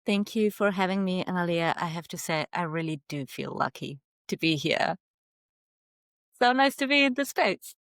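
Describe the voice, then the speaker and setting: A woman in her thirties speaks with a slightly low voice, unhurried and reflective. She answers as an interview guest and is recorded close and dry, with no room sound. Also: lively intonation, fairly bright tone, no room sound. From Argentina. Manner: measured and thoughtful, with some fillers and light laughs. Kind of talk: casual interview storytelling.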